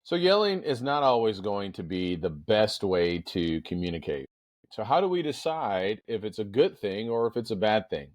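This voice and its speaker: A man's voice: on the low side, big pitch swings, moderate pace, no echo. Powerful-voiced man, emphatic motivational talker. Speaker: podcast host. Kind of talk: motivational solo monologue.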